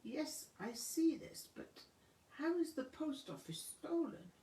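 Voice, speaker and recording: A woman voicing a playful, teasing young foreign woman who is curious and speaks in deliberate, lilting phrases. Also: high-pitched, big pitch swings, warm tone, reverberant, far from the mic, pitched above the performer's own voice, full character voice. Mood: puzzled, curious.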